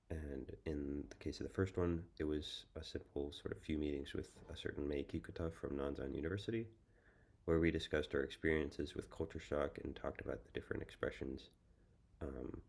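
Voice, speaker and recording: A young American man giving a prepared student presentation, speaking in a measured, thoughtful way with frequent ums. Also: rumbling low, fairly even intonation, a little mellow. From United States. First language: English. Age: young adult.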